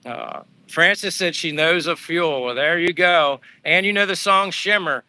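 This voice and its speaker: Middle-aged male livestreamer, rambling and friendly, bright ringing tone, hoarse edge. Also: fairly high pitch, a little slow, no echo. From Pennsylvania, USA. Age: 50s. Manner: rambling, chatty, answers viewers by name. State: voice going hoarse from talking. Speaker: livestream vlogger. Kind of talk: casual live chat reading comments while walking. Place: outdoors on a walk.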